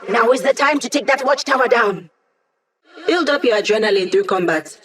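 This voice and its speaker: A woman's voice: on the high side, animated, normal speed, fairly bright tone. An actress voicing a cocky, sardonic young female hacker who lives as a hologram in a video game, with slangy, teasing delivery. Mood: focused, urging. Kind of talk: video game character voice lines.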